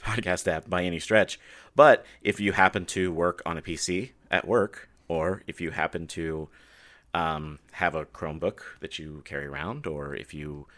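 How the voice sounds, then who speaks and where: Deep-voiced man with a nasal head cold. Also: fairly bright tone, no room sound. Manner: chatty, talks a lot with restarts. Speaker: podcast host. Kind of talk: casual podcast chat.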